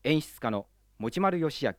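A man's voice: fairly high pitch, expressive, a little slow, no echo, studio quality. A man playing a formal, solemn announcer for news bulletins and commercials in an amateur radio drama.

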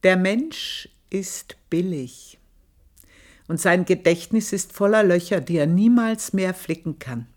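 An actress playing an aging, shrewd maid in a radio play, confiding her memories in a hushed, whispery way. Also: fairly low pitch, takes it slowly. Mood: brooding. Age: older. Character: aging female servant, shrewd and bitter. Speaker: maid recounting her past. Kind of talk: 1980s radio play monologue.

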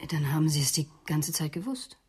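Bitter cornered murderess, low pitch, very breathy and raspy, defiant, intense, radio crime drama. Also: female voice, lively intonation, a little fast, heavily acted. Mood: shaken. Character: cornered, bitter female psychoanalyst who has killed.

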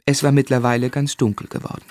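Actor as wry guy telling his life story, measured literary narration, dry close sound. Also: medium pitch, level tone, a little mellow, no echo, natural voice. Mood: wry, reflective. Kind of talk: literary narration in a radio play.

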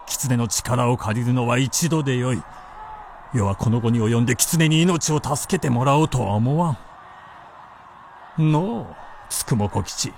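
An actor in a Japanese radio drama playing an imperious, fearless samurai warlord with a commanding, theatrical delivery. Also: male voice, mid-range voice, unhurried, creaky, darkish tone, slight background noise. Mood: resolute, commanding.

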